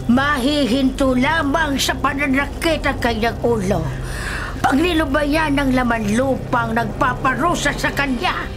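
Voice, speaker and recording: An older village healer woman, grave and foreboding, speaking slowly in solemn radio drama style. Music is clearly heard behind her. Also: sits high. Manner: solemn, ominous, deliberate phrasing. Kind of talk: Filipino radio drama acting.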